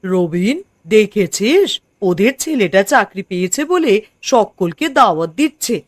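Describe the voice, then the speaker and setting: A woman's voice: mid-range voice, sing-song, unhurried, no room sound, clean studio sound. A woman playing a jealous, complaining middle-aged village mother in a cartoon, grumbling expressively. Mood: envious, aggrieved. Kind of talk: cartoon dubbing.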